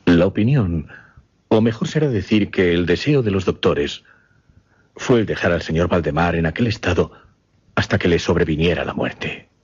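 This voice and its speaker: An actor playing a grave mesmerist who recounts a horrifying case in a husky, breathy voice, halting and suspenseful, in radio drama style. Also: male voice, sits low, expressive, darkish tone. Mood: grave, measured. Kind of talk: dramatic radio horror storytelling. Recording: dull radio drama recording.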